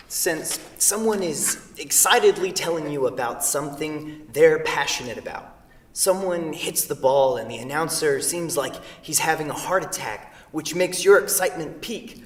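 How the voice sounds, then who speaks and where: An echoey, distant stage recording carries an actor as an earnest young man in a comedy. He speaks haltingly, with dry wit and a storyteller's passion. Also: a little high, expressive, natural voice. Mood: wry, earnest. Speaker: actor in a staged play reading. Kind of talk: staged reading of a comedic two-person play. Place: theatre stage, picked up from a distance. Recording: echoey theatre hall, distant microphone.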